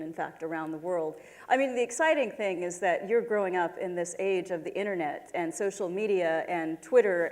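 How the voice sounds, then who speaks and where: A woman's voice: lowish voice, a little mellow. Earnest woman panelist in a hall. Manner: earnest, emphatic. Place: large auditorium stage. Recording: echoey hall reverb.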